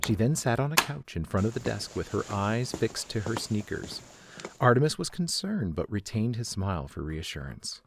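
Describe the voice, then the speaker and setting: A man's voice: lowish voice, sing-song, moderate pace, gravelly, background noise. A man reading scripted story narration as the narrator.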